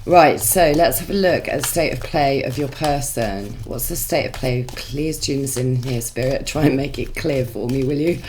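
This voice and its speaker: A woman with a congested voice, chatting through a tarot reading with many musing pauses and restarts. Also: very deep voice, darkish tone, slight background noise. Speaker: tarot reader.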